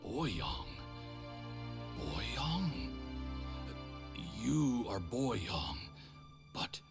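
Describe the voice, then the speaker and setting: A man playing an old warrior lost to madness, bewildered and slow, with a gravelly voice. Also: medium pitch, very animated, music in the background, full character voice.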